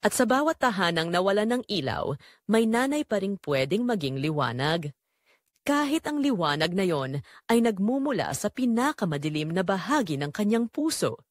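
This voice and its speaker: A woman with a Filipino accent playing a betrayed middle-aged mother, telling her story solemnly and deliberately in an audio drama. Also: medium pitch, sing-song, dry recording, studio quality, lightly acted. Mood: reflective, resolute. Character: betrayed middle-aged mother, wounded but quietly resolute. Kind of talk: dramatic first-person audio story narration.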